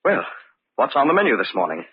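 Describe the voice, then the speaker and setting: Unraveling husband, wildly swinging voice, old radio. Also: male voice, medium pitch, huge pitch swings, quick pace, husky, no echo, full character voice. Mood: outwardly polite. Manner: agitated muttering to himself, swinging into cries. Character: husband unraveling in guilty paranoia, outwardly polite. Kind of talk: old-time radio drama acting. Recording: dull, noisy old radio recording.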